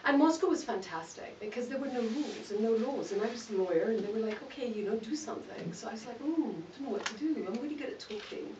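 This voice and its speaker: A woman's voice: fairly high pitch, lively intonation, quick pace, hardly any pauses, a little mellow. Woman telling her story to a crowd, jokey and frank, echoey hall, muffled sound. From Malawi. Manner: candid, self-mocking, laughs often. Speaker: guest speaker. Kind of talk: conversational talk to an audience. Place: event hall. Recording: echoey hall, distant mic, dull sound, noisy.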